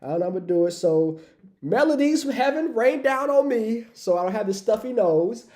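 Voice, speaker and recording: A man hosting a livestream with a cold, raspy and stuffy-nosed, talking casually and hyping up his chat. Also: high voice, expressive, fairly quick, a little mellow. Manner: upbeat, hypes the chat, says "y'all". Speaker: livestream host.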